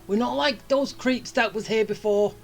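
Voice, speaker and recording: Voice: mid-range voice, normal speed, creaky. A game streamer giving casual playthrough commentary, joking along and reacting to the dialogue.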